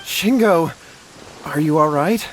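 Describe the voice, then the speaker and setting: A man's voice: on the high side, animated, slow, a little hiss or hum, low-quality recording. A man voicing an anxious, earnest and deferential young man in an anime game. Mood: worried, remorseful. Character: timid, anxious young man, apologetic younger-sounding brother.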